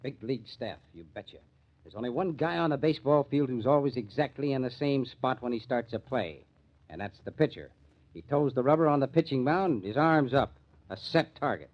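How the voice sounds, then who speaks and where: A man's voice: mid-range voice, lively intonation, fairly quick, close to an everyday voice. Casting: male actor as tough, sardonic American police detective, assured slangy delivery, vintage radio crime serial, muffled recording. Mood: sardonic, explaining. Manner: wisecracking, hard-boiled slang. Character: confident, wry, tough police lieutenant. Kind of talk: old-time radio crime drama acting.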